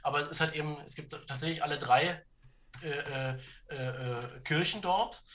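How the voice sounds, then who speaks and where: A man speaking as a podcast guest, explaining history and politics conversationally in a halting way, searching for words and checking in as he goes. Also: a little high, fairly bright tone, a little hiss or hum.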